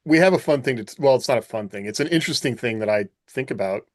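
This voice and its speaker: A man's voice: medium pitch, expressive, quick pace, darkish tone, no room sound, studio quality. A man hosting a podcast in casual chat, musing aloud and posing questions. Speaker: podcast host.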